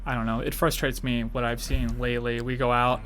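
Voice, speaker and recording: A man's voice: mid-range voice, little pitch movement, brisk, a bit bright, a little hiss or hum. A man co-hosting a podcast, talking in an easygoing, casual way with plenty of "you know". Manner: easygoing, laughs often, fillers like "you know". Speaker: podcast co-host. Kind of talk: casual interview chat.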